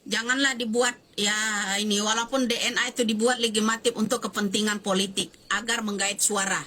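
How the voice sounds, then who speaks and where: A woman's voice: medium pitch, fairly even intonation, brisk, pushed and bright. A woman in her fifties, a social media commentator, giving a fiery, emphatic statement that repeats phrases and demands answers. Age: 50s. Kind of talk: impassioned video statement.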